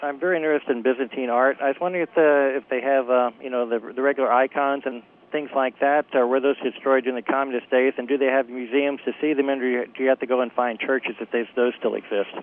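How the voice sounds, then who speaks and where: A man's voice: medium pitch, steady tone, quick pace, hardly any pauses. Male caller on a tinny phone line. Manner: hesitant questions.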